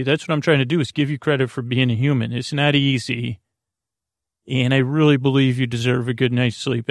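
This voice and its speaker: A man hosting a sleep podcast, talking in creaky, lulling, soothing tones, hesitant and meandering from one tangent to the next. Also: medium pitch, dry recording, clean studio sound. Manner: soft, soothing. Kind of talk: rambling bedtime monologue meant to lull.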